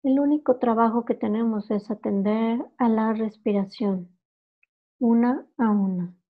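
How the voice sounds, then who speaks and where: Woman, slightly high voice, meditation guide, calm instructional phrasing, unhurried, muffled lo-fi recording.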